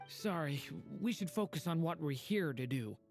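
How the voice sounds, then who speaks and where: Earnest, low-voiced young boy. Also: lively intonation, moderate pace, a little mellow, soft background music. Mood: wistful. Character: young dragonborn boy, polite, earnest, innocent. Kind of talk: animated video game voice acting.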